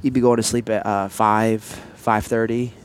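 A man co-hosting a casual couple's podcast, chatting in a relaxed, playful way, with sniffles from allergies. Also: measured pace, a little hiss or hum. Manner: laid-back, playful, jumps up in pitch when excited. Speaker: podcast co-host.

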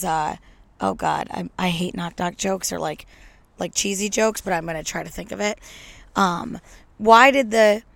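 Slightly hoarse, brash woman podcaster. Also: medium pitch, fairly bright tone, dry recording. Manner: brash, joking, tongue-in-cheek rambling. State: a little hoarse. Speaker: podcast host. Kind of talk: casual solo podcast monologue. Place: at home on a couch. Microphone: podcast microphone.

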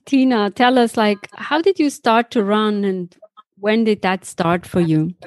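A woman's voice: mid-range voice, a little slow. A woman hosting a podcast, introducing and interviewing guests. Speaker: podcast host.

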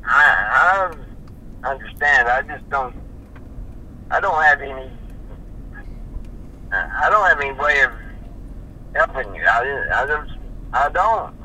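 Halting bright-voiced man in his fifties. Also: medium pitch, lively intonation, a little hiss or hum, low-quality recording. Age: 50s. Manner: halting, stammering, repeats himself. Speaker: person being questioned on a phone call. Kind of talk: casual phone conversation.

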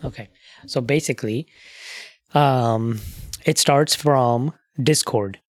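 A man's voice: medium pitch, slow pace, no echo, studio quality. A man hosting a podcast, chatting casually and explaining things with asides and fillers. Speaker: podcast host.

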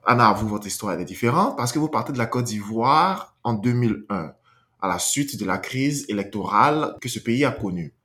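A man's voice: lowish voice, animated, brisk, sharp tone. A man conducting an interview, asking formal and composed questions.

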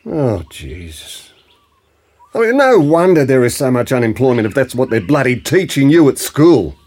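A man's voice: mid-range voice, big pitch swings, normal speed, darkish tone. An actor playing a worried, gullible older grandfather in a comic audio drama, earnest, blunt and a little hesitant. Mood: grumbling.

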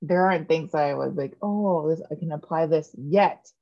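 A woman's voice: low voice, moderate pace, mellow, lo-fi sound. A woman in her thirties, a podcast guest talking candidly and joking about herself in casual chat. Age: 30s. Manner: candid, self-mocking, jokey.

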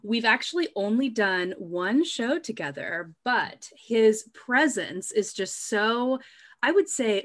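A woman with a mid-range voice hosts a podcast. She speaks effusively and warmly to her guest and asks easy questions about his career. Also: mid-range voice. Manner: effusive and welcoming. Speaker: podcast host. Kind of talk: podcast interview.

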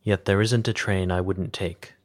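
Male poetry reader, low register, steady and restrained in melody. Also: normal speed. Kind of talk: poetry recitation.

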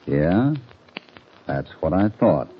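A man's voice: sits low, lively intonation, slow pace, loud music behind the voice. An actor playing a dogged American cowboy lawman in an old radio western, with a folksy, casual way of asking questions. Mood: probing, mild. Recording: dull, muffled vintage radio recording.

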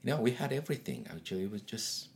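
A very deep-voiced middle-aged man from Eritrea, Tigrinya his first language, speaks in a relaxed conversational interview. He tells his stories freely, restarting sentences and laughing as he goes. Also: fast, creaky, slight background noise. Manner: chatty storyteller, frequent restarts and laughs.

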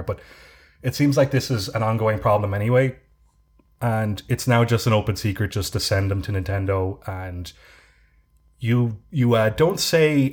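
A man chatting casually on a podcast, telling a drawn-out story with many restarts. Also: on the low side, a little mellow. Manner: rambling storyteller with frequent restarts. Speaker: podcast co-host. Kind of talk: casual chat.

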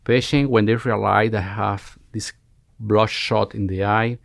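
Halting Cuban-accented man, gravelly. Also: fairly low pitch, fairly even intonation, no room sound, studio quality. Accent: Cuban Spanish. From Cuba. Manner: halting, searching for words, frequent restarts. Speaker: guest, family medicine resident. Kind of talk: podcast interview.

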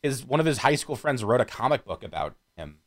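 A man talking casually as a podcast panelist. His pitch swings widely as he rambles through stories in loose, profane language, giving the chat an animated feel. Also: brisk. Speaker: podcast panelist discussing films.

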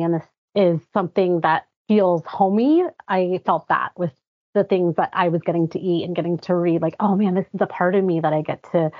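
A young woman from Guatemala talks as a podcast guest in an earnest, chatty way. Her voice sits fairly low. The recording is dry and a little muffled. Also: no echo. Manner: earnest, chatty, many fillers. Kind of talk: conversational interview. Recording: dry, slightly muffled audio.

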